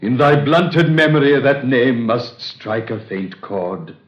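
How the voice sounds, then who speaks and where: A grave ancient god of the dead, speaking in stately, archaic phrases. He talks very slowly, in a 1930s radio-play style. The old recording sounds dull and roomy. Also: medium pitch, expressive. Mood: solemn. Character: solemn ancient male Egyptian god of the dead. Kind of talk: 1930s radio drama acting.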